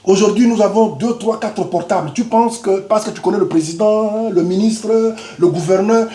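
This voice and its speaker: A man in his fifties preaching a Pentecostal sermon, whose first language is Lingala, moving between quiet asides and loud exhortation. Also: high voice, moderate pace. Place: church hall. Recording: echoey church hall with faint noise.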